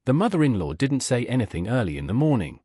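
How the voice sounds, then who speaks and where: A man reading a story aloud as scripted narration, with a husky voice. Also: mid-range voice, very animated, quick pace, warm tone, dry recording, studio quality. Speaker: audiobook narrator.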